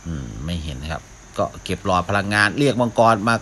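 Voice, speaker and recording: A man's voice: low voice, lively intonation, fast talker, a bit bright, a little hiss or hum. A man giving casual live commentary as a gaming video host, often talking to himself as he plays. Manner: mouths sound effects, talks to himself while playing.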